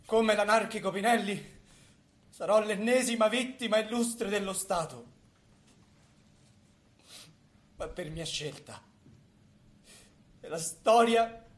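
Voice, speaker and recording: An actor playing a bitter, megalomaniac man in a grandiose, declamatory stage monologue. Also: high-pitched. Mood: defiant, contemptuous. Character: megalomaniac, bitter man who claims to know every secret. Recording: echoey stage recording with background noise.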